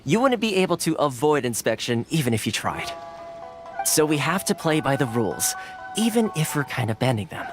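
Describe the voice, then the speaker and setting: A man's voice: on the high side, sing-song, brisk. An actor playing a friendly, easygoing young male fixer in a video game dub, with a playful, teasing manner. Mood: assured. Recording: game dub with music behind.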